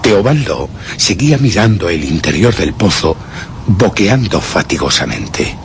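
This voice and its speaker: A vengeful murderer telling his tale in a radio horror play. His Castilian Spanish is deliberate and menacing, fairly low-pitched yet highly expressive. Clear noise sits behind the recording. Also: exaggerated voice. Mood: cold, sinister. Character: cold, vengeful male murderer recounting his crime. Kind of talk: dramatic first-person radio horror narration.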